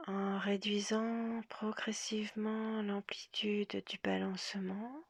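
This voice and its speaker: A woman guides a relaxation session in a soft, half-whispered voice with a very creaky edge. She speaks gently and very slowly, leaving long pauses between phrases. The recording is close and dry. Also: medium pitch, little pitch movement, no echo. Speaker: yoga nidra guide.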